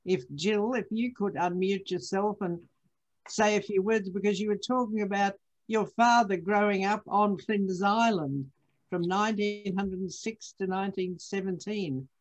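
Woman moderator, mid-pitch, brisk video-call host. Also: poor audio. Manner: brisk, prompting. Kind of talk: chairing a video Q&A.

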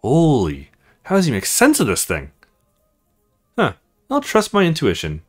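A man playing a visual novel, commenting casually and reading lines aloud in an expressive, theatrical way. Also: mid-range voice, a little slow, faint music behind. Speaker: let's play streamer.

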